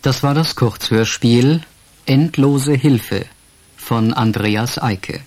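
Slow, formal male radio announcer. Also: medium pitch, a little mellow. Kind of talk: formal scripted credits reading.

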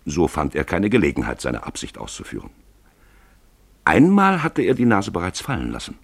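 Super deep husky guy telling a story for radio, swoopy expressive delivery, theatrical. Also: normal speed, no echo. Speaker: narrator. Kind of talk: dramatic scripted literary narration for radio play.

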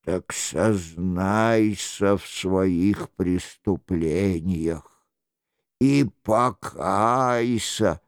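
Мужчина-чтец озвучивает суровый, торжественный, глухой бестелесный голос, который требует покаяния. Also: male voice, low-pitched, no room sound, clean studio sound, voice pushed down, heavily acted. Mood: solemn, stern. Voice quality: hollow, muffled. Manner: slow, grave pronouncements. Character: stern, solemn, wrathful disembodied male voice demanding repentance.

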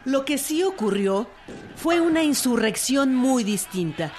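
A woman's voice: high voice, expressive, measured pace, heavy background noise. A woman reading scripted historical documentary narration as a narrator. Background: music.

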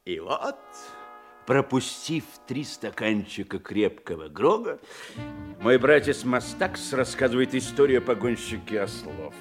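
An actor playing a drunken, forgetful, boastful sea captain in an audio play, with a slurred theatrical drawl. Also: male voice, mid-range voice, very animated, unhurried, faint music behind. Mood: drunken narrating. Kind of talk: theatrical audio play acting with narrated monologue.

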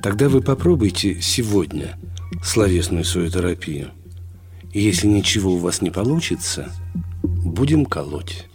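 A man's voice: low voice, very animated, moderate pace, a little mellow, soft background music. An actor playing an irritable male psychiatrist in a radio play, speaking curtly and dismissively. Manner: curt and dismissive. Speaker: hospital doctor.

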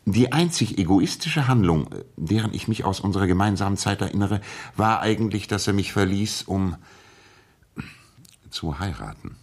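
A master detective from a 1960s radio play. The voice is very deep and rough-edged. He speaks with pedantic precision and a dry, superior composure. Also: lively intonation, full character voice. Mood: dry, reflective. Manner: measured, pedantic, dryly superior. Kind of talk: 1960s German radio play acting and first-person narration.

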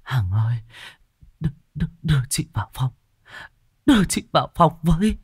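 A man's voice: a little high, sing-song, normal speed, husky, no echo, pitched above the performer's own voice, heavily acted. A shaken woman shopkeeper, acted by a male storyteller, stammers and pleads in a raised, swooping voice. She sounds weary and frightened, as in a ghost story told close and dry. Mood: weak, pleading.

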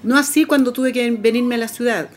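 Middle-aged woman, lively storyteller. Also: a little high, a little fast, faint music behind. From Camiña, northern Chile. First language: Aymara. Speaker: Aymara language teacher, interviewee. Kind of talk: personal story on a radio program.

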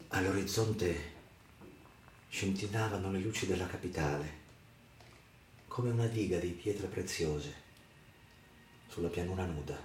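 Deep-voiced man narrating theatrically. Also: darkish tone, slight background noise. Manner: theatrical, emphatic, measured dramatic delivery. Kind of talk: dramatic scripted literary narration for radio theatre. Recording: roomy echo with music underneath. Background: music.

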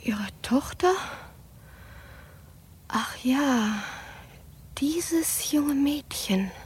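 A woman playing a frail, mysterious young lady in a German radio drama, speaking in a breathy, languid whisper. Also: high-pitched, expressive, very slow, slight background noise, exaggerated voice.

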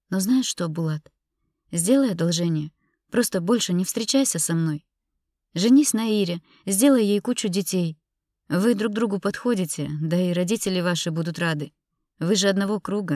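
A woman's voice: on the low side, a little mellow, no room sound, clean studio sound, close to an everyday voice. A woman narrator playing a hurt, bitter young woman confronting her husband in an audiobook drama, emotional and indignant.

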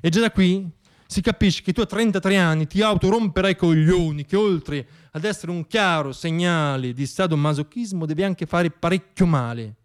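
Higher-voiced man performing a sardonic theatrical monologue. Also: clear, resonant tone. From Italy. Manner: emphatic, pausing, repeats words for effect. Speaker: monologist performing own piece. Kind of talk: theatrical comic radio monologue.

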